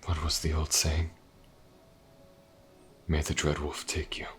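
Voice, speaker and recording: A man acts out a grave, ancient mage bound by duty, in a fantasy audio drama. His voice is extremely deep and often sinks to a breathy half-whisper. He speaks slowly and tenderly, full of sorrow, over a bed of music. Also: completely flat delivery. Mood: sorrowful, tender. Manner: solemn, measured, tender. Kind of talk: intimate fantasy audio roleplay acting. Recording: music behind the voice.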